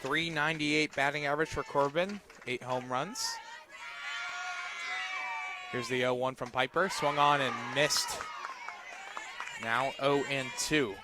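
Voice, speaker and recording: A man doing live radio play-by-play of a softball game as the announcer. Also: medium pitch, slow, long pauses. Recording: noisy outdoor broadcast with crowd behind. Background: crowd and outdoor ambience.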